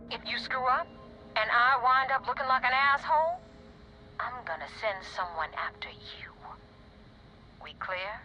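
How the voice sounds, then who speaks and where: An actress playing a tough, sardonic space pirate recruiter in a video game, blunt and threatening. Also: female voice, medium pitch, sharp tone, muffled recording, slight background noise. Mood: menacing.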